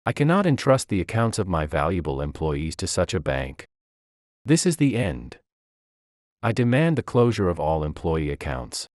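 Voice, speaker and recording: A man's voice: low voice, sing-song, moderate pace, a little mellow, dry recording, clean studio sound. A man voicing a firm, composed chief executive in a narrated story. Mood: resolute. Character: stern, composed male CEO delivering judgement.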